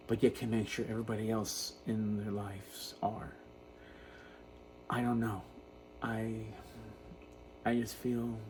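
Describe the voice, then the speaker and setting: A man in his fifties giving an unscripted personal monologue in a halting, self-reflective way. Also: lowish voice, darkish tone, rough recording. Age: 50s. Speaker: video creator talking about personal issues. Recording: noticeable background noise.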